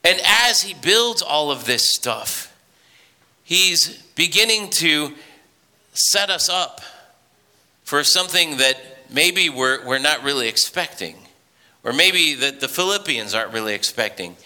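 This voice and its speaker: Bright-voiced male pastor in a hall. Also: fairly high pitch, a little slow. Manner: conversational, animated storytelling with many restarts. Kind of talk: sermon. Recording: reverberant hall.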